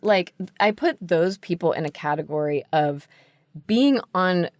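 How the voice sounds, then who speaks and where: Low-voiced woman, dry close sound, chatting informally. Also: lively intonation, unhurried, a little mellow, dry recording. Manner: informal, peppering speech with "like". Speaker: interview guest, book editor. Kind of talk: casual conversational explanation.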